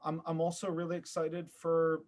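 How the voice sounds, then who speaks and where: A man giving a thoughtful interview as a podcast guest, searching for his words with an earnest, halting manner. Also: on the high side, monotone, a little mellow. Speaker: podcast guest, theater artist.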